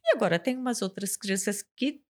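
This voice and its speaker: A woman's voice: mid-range voice, lively intonation, a little fast, darkish tone. A woman with a Brazilian Portuguese accent, a speech therapist guest on a podcast, explaining things patiently and repeating key terms. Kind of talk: podcast interview answers.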